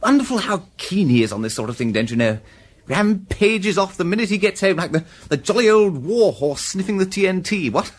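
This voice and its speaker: An actor playing a languid, slangy upper-class British twit chatting idly in a radio drama. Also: male voice, sits high, lively intonation, brisk. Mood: jovial admiration.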